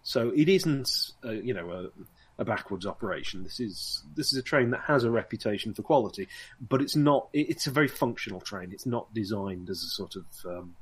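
Man, game master, husky voice, halting delivery, lots of filler words. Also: on the low side. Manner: hesitant, many ums and you-knows. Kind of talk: narrating a tabletop game.

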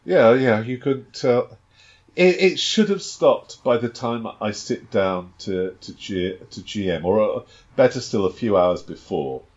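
Low-voiced hesitant man, dry humour. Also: a little slow, darkish tone. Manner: hesitant, many restarts and fillers, dry self-deprecating humour. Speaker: game master and podcast co-host. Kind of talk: casual chat and tabletop game setup. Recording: slightly dull lo-fi home recording.

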